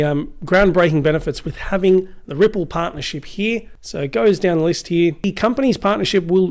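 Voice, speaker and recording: Croaky-voiced man reading crypto news. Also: fairly high pitch, a little mellow. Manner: reads quotes aloud with frequent restarts and asides. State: slightly croaky voice.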